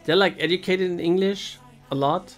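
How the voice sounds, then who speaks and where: German streamer, chatty and halting. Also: male voice, high voice, faint music behind. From Germany, Bavaria. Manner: hesitant, restarts sentences, chuckles often. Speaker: game streamer. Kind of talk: casual stream chat.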